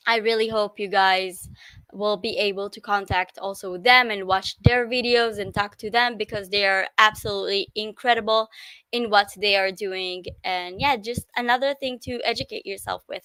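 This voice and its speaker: A woman's voice: medium pitch, bright, forceful tone, smooth, no room sound, poor audio. A woman with an Israeli accent and Hebrew as her first language, hosting a casual live stream in hesitant English with frequent self-corrections.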